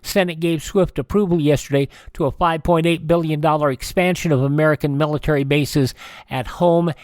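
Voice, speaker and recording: A man hosting a radio show, reading old news items in a halting way with stumbles and self-corrections. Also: fairly high pitch, fairly even intonation, a little mellow, no room sound, clean studio sound.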